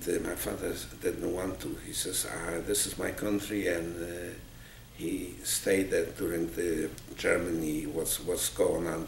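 Interviewee: man in his eighties, Polish accent, gravelly recollections, recorded in a roomy, noisy space. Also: sits low. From Poland. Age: 80s. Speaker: interviewee, Holocaust survivor. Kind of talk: oral history recollection. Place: small office indoors, seated. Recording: roomy, noisy, distant microphone.

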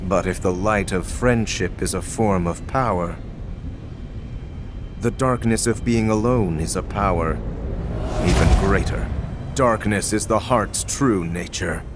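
Casting: cold young male villain for a game, slow taunting menace, noisy backdrop. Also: low voice. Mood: ominous. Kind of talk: video game villain acting.

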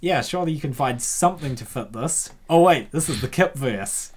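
A woman hosting casual comedic commentary in an excitable, theatrical, playful way. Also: low-pitched, moderate pace. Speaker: host reacting to a web comic.

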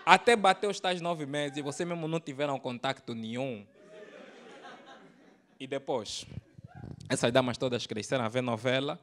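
An Angolan comedian in his thirties works a club crowd into a handheld mic. He tells his stories in street slang, jumping between loud outbursts and hushed asides. His voice sits at an ordinary middle pitch. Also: moderate pace, long pauses. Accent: Angolan Portuguese. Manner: storytelling with slang, swings between loud bursts and low asides. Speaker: stand-up comedian. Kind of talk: stand-up routine. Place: comedy club stage with audience.

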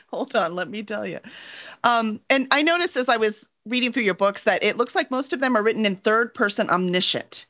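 A woman's voice: a little high, expressive, normal speed, a bit bright. A middle-aged woman hosting a casual interview over a video call, chatty and joking. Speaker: interview host, author. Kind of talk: casual chat. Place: room at home. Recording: dull lo-fi video call audio.

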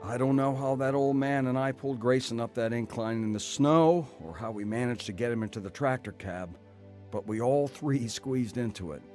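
Man acting as anguished brother, earnest and halting, radio drama, mid-pitched male voice, music underneath. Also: a little mellow, natural voice. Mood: reflective. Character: anguished, repentant brother narrating his blizzard ordeal.

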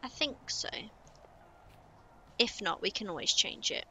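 Chatty woman, bright lively voice. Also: mid-range voice, a little slow, a little hiss or hum, rough recording. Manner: chatty, muttering to herself while building. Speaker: streamer. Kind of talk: casual gameplay commentary.

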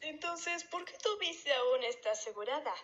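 A woman's voice: sits high, animated, moderate pace, fairly bright tone. A woman voicing a confident, businesslike boy detective in an amateur comic fandub, self-assured and questioning. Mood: probing. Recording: slightly dull lo-fi sound.

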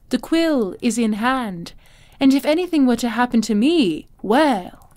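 Herrische, grausame ältere Madame, langsam sprechend. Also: female voice, a little high, darkish tone. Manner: haughty, silky, condescending. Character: imperious, cruel older madame who owns wards. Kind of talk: fantasy audio drama acting.

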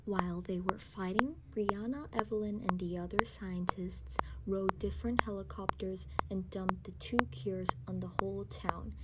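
A woman's voice: medium pitch, steady tone, moderate pace, few pauses, close to an everyday voice. A teenage girl narrating an amateur audio drama in a scripted storytelling style. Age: young teenager. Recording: noisy amateur student recording.

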